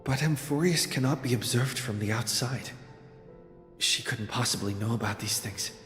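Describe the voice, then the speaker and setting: A man's voice: medium pitch, a bit bright, close to an everyday voice. A man voicing a wary, thoughtful young hero in a video game, musing hesitantly as if to himself. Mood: suspicious, puzzled. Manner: slow, hesitant, introspective musing. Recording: echoing hall reverb with music.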